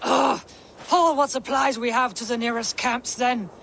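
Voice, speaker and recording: A scornful Viking boss woman, her voice bright and forceful, speaks slowly and curtly. She commands like a hard game character, with faint outdoor noise around her. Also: fairly high pitch, fairly even intonation. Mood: curt, commanding. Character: gruff, bossy Norse female camp overseer. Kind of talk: video game voice acting.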